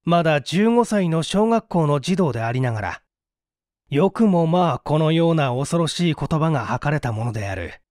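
Theatrical man narrating, very animated pitch. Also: normal speed, no room sound, clean studio sound. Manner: theatrical, formal. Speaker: audiobook narrator. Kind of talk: dramatic scripted narration of a mystery story.

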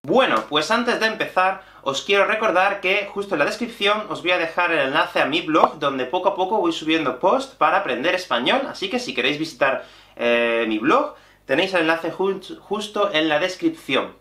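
Lively teacher, bright voice. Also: male voice, on the high side, normal speed, faint music behind. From Spain. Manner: animated, gestures constantly while explaining. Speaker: Spanish teacher. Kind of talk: online language lesson. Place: small room with bookshelf and whiteboard. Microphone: camera at mid-shot distance. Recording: echoey small room.

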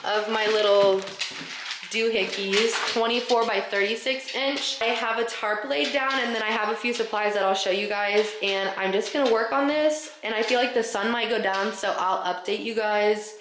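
A woman's voice: mid-range voice, fairly even intonation, normal speed. Young woman, casual vlog chat, roomy echo, faint background noise. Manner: chatty, upbeat, lots of "like". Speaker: vlogger. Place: large bright home interior.

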